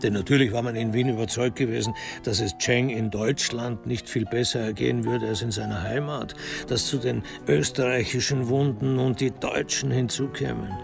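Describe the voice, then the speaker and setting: A man narrating a scripted radio crime drama in a wry, measured storytelling manner. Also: mid-range voice, steady tone, vocal fry, over music.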